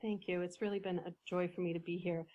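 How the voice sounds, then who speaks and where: A woman coach, a podcast guest, explaining ideas in a measured way with some fillers. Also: fairly low pitch, little pitch movement, phone-quality sound. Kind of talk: podcast interview.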